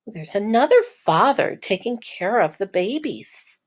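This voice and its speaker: A woman teacher narrating a children's storybook in a measured, explanatory way for young listeners. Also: medium pitch, big pitch swings. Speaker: teacher reading aloud.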